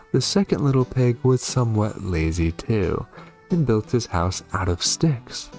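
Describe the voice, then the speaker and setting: A man reading a story game aloud in an expressive storybook style. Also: on the low side. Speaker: gaming YouTuber reading a game's story aloud.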